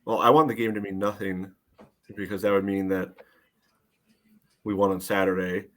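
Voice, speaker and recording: A man's voice: low-pitched, level tone, moderate pace. A man co-hosting a casual soccer podcast with a dry, emphatic, sardonic way of talking. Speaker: sports podcast co-host.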